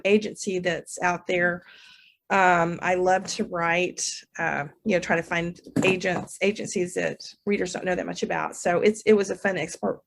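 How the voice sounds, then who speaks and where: A woman novelist chatting as a podcast guest, often correcting herself mid-sentence. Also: mid-range voice. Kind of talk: casual interview chat.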